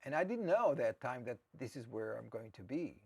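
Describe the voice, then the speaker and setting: Interview guest: Israeli-accented man, gravelly voice, mid-pitched, thoughtful and halting. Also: big pitch swings. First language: Hebrew. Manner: hesitant and reflective, with frequent restarts. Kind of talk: personal storytelling in an interview.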